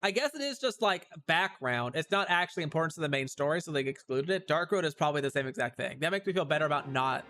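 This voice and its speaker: A man's voice: a little high, expressive, fast talker, few pauses, bright, forceful tone. A man livestreaming casual game commentary, musing and reacting aloud in loose fragments.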